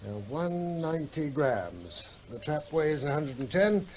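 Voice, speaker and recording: A middle-aged country vet, teasing and matter-of-fact, fairly high voice, faint hiss. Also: male voice, sing-song, unhurried, a little mellow. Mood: steady. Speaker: David Coleman, town vet. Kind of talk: vintage radio drama acting.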